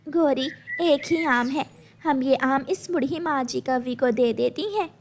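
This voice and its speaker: A woman voicing a gentle, kind little female songbird in a cartoon, speaking sincerely. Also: high voice, unhurried. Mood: kind, calm. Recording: clearly noisy background.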